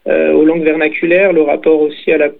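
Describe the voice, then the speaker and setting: A young man, a poet, answering interview questions thoughtfully, with many pauses and restarts. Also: a little high, flat delivery, gravelly. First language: French. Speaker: poet and author. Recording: tinny phone-like radio sound.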